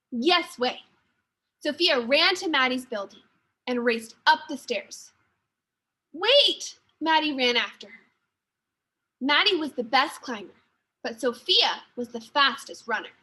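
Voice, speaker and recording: A woman teacher reading a children's story aloud with expressive, deliberate narration. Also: high voice, breathy edge.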